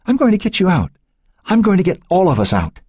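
A scared, protective teenage boy, acted by a male narrator in a suspense audiobook. The voice is breathy and hushed, lifted well up in pitch, and it falters as it goes. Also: sing-song, brisk, no room sound, studio quality. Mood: anxious, reassuring. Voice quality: breathy, whispery. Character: frightened teenage boy, protective, trapped in darkness. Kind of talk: audiobook character acting.

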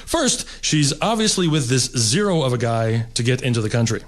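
A man's voice: medium pitch, big pitch swings, normal speed. Middle-aged american guy doing standup at a table, wry rambling storyteller, lots of uhs. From United States. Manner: wry, rambling with fillers, mock-snobbish asides. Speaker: stand-up comedian. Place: seated at a table, indoor stage.

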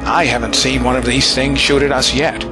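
An actor playing a steady, practical army sergeant in a wartime audio drama. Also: male voice, medium pitch, a little fast, lightly acted. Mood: commanding. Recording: music and battle effects behind.

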